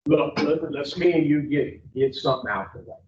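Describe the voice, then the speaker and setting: A man's voice: on the high side, normal speed, a little hiss or hum. A man, bluntly questioning new clients at an addiction treatment intake. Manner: blunt, confrontational, drawling. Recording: far-off phone-like audio in an echoey room.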